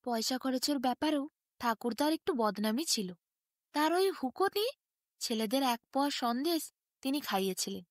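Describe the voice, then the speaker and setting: High-pitched, animated woman storyteller. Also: normal speed, no echo, studio quality. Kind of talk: expressive Bengali bedtime story narration.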